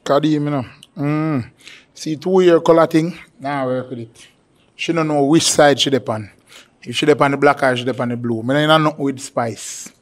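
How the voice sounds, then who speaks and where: A young man gives a relaxed, teasing take on a dating show contestant. His voice sits a little high for a man. He speaks into a handheld mic. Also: normal speed. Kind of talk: casual chat.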